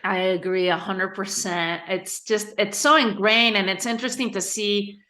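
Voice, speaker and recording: A woman from Spain, a guest on a podcast, answering thoughtfully with pauses and self-corrections. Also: medium pitch, fairly even intonation, bright, forceful tone. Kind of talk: podcast interview.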